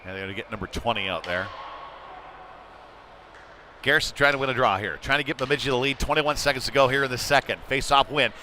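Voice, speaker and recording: A man's voice: medium pitch, fast, pauses often. A man calling live ice hockey play-by-play in a conversational, folksy way, with casual asides between the action. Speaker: play-by-play commentator. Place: indoor youth hockey arena. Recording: noisy arena with clattering ambience.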